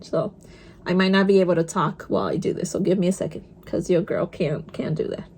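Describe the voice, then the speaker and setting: A woman's voice: lowish voice, steady tone, fairly quick, a little mellow, slight background noise. A young woman with a Spanish accent, a native Spanish speaker, chatting casually while doing her makeup and dropping in Spanish words. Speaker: vlogger. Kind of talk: casual get-ready-with-me chat.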